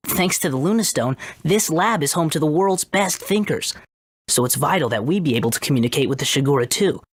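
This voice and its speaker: A woman's voice: sits low, expressive, brisk, slightly rough, no echo. A woman voicing a brainy, self-assured boy who heads a research lab in a video game, matter-of-fact and bossy. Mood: explanatory. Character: confident brainy boy genius, head researcher.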